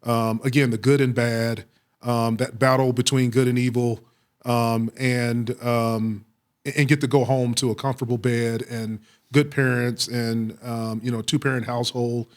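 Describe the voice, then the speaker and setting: A middle-aged American man from Texas answering interview questions with personal stories, speaking reflectively with frequent pauses and repeated phrases. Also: medium pitch, flat delivery. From Waco, Texas, United States. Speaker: police chief, interview guest.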